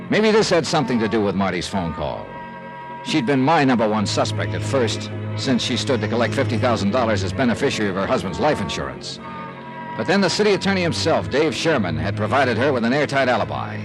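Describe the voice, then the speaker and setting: An actor playing a shrewd, skeptical insurance investigator in a 1950s American radio drama, with clipped, wry, hard-boiled delivery. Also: male voice, mid-range voice, natural voice, rough recording. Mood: brisk, puzzled.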